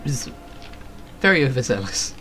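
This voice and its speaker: A man's voice: medium pitch, sing-song, normal speed, background noise. A man doing casual, flippant, sweary live commentary as a game streamer. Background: game music.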